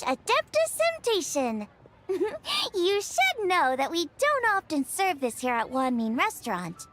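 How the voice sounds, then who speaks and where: A woman's voice: very high-pitched, full character voice. A woman voicing a cheerful, confident young girl chef in a video game, chatty, bubbly and reassuring. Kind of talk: English video game voice acting.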